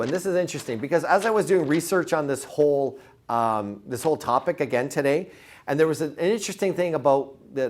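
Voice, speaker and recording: A man giving an informal motivational sales presentation, repeating words for emphasis and putting questions to his listeners. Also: fairly high pitch. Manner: informal, punchy, asks the audience questions. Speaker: sales trainer presenting to real estate agents.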